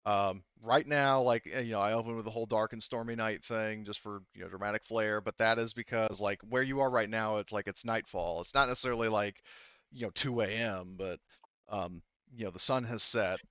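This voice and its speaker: A man speaking casually and haltingly as game master, explaining and narrating a tabletop roleplaying game. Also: fairly low pitch, fairly even intonation, a bit bright, clear, resonant tone, dry recording, lo-fi sound.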